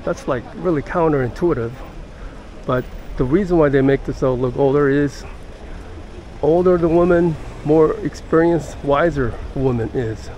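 An older man giving casual walking-tour narration as a travel vlogger, halting and pausing mid-sentence. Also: fairly high pitch, darkish tone, dull audio. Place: outdoors in busy city streets and squares. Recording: outdoor city street noise.

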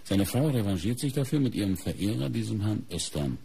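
Gravelly, low-voiced police inspector. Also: male voice, expressive, dark tone, exaggerated voice. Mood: matter-of-fact. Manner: measured, reasoning aloud. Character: sober, methodical middle-aged male police inspector. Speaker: actor in a radio crime play. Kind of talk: German radio crime drama acting.

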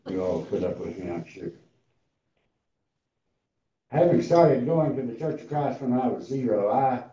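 A man in his eighties with a raspy, tender voice, preaching and slowly reading scripture to a congregation. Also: mid-range voice, warm tone. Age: 80s. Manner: slow, deliberate, halting reading. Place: large church hall before a seated congregation. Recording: roomy, distant, dull hall sound.

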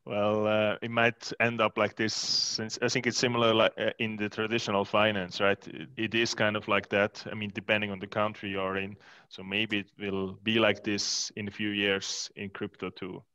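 A man's voice: on the low side, completely flat delivery, moderate pace, bright, forceful tone, clean tone, dry recording. A man in his thirties speaking as a panelist through a headset mic, explaining in a matter-of-fact way. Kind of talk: panel discussion over video call. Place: room with plant wall indoors.

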